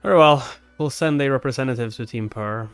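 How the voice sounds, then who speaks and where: A man's voice: mid-range voice, fairly quick, clean tone, no echo, faint music behind, close to an everyday voice. A man voicing a guarded, businesslike official from a comic, speaking formally and sceptically.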